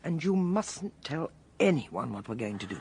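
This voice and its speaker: A shrewd older English lady detective in a radio mystery. Her voice is low and husky, rising and falling expressively as she probes with brisk authority. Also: big pitch swings, darkish tone, heavily acted. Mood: brisk, inquisitive. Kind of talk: period radio drama acting.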